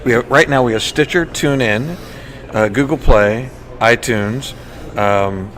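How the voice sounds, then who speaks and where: A man hosting a podcast in casual chat, enthusiastic and somewhat halting, often repeating words. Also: medium pitch, a bit bright. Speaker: podcast host. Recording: faint background noise.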